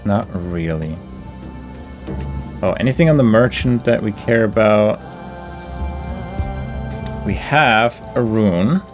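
A man's voice: on the low side, animated, slow pace, long pauses. A man doing casual gameplay commentary, thinking his choices through aloud in a deliberative way. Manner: deliberative, musing to himself. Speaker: video game let's play host. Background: faint game music.

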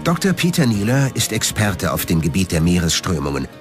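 A man's voice: low-pitched, huge pitch swings, fairly quick. A man reading scripted documentary narration. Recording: music behind the voice. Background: background music.

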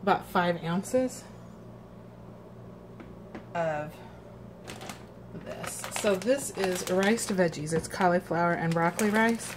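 A woman's voice: lowish voice, takes it slowly, long pauses. A woman giving a casual home cooking demonstration, thinking aloud hesitantly as she works. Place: home kitchen, indoors. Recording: noisy home kitchen recording.

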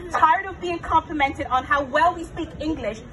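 A woman's voice: high voice, moderate pace, bright, forceful tone. A woman with an Irish accent delivering emphatic, declamatory spoken-word narration. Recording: distant mic, background noise.

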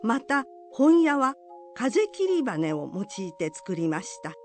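A woman narrates a folk legend in formal, polite language. The voice sits at a middle pitch with animated rises and falls. The pace is slow and measured, with music underneath. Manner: formal, polite, expressive storytelling.

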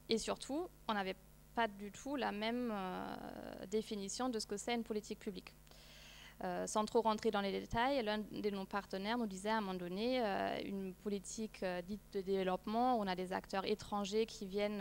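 Woman in her thirties, non-native speaker, hesitant with fillers, seminar room, handheld mic, faint hum. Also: medium pitch. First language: not French. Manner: hesitant, many fillers and restarts. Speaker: postdoctoral researcher presenting a project. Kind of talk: academic seminar presentation. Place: seminar room, seated at a long table.

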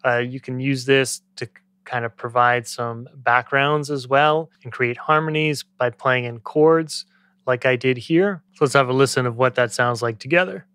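A man in his thirties giving a casual software tutorial as a music production tutor, speaking into a close studio microphone. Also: mid-range voice, fairly even intonation, moderate pace, clear, resonant tone, no echo. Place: small indoor home studio. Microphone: close studio microphone on boom arm.

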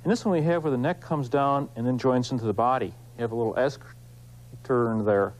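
Guy hosting a woodcarving how-to, medium pitch voice, easygoing folksy explaining while he works. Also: brisk, rough recording. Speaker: woodcarving instructor, show host. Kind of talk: hands-on demonstration, informal teaching.

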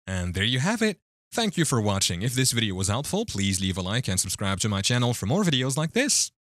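A man narrating a scripted step-by-step phone app tutorial in an even instructional delivery. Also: medium pitch, brisk, no echo, clean studio sound. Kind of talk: scripted screen-recording voice-over.

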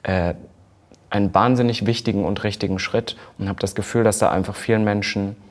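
A man's voice: fairly low pitch, steady tone, fairly quick. A man in his mid-twenties speaking candidly and emphatically in a personal conversation. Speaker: podcaster and host.

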